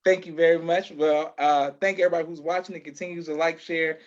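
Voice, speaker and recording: A man's voice: fairly high pitch, fairly even intonation, quick pace, dull audio. A man hosting a casual interview, speaking effusively and often repeating his affirmations. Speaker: podcast host.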